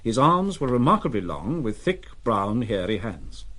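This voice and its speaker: A man acting as narrator of a literary adventure drama, telling it in a measured, descriptive way with a husky voice. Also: mid-range voice, big pitch swings, fairly bright tone. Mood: measured, vivid.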